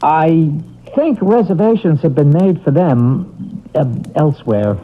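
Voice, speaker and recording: A bumbling heavenly emissary for old-time radio. The voice sits fairly high and swings widely in pitch as he stammers and apologizes. The sound is muffled, like a vintage broadcast. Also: very animated, a little slow. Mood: sheepish. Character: bumbling, hesitant male afterlife emissary, unsure in his job. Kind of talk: 1970s radio drama acting.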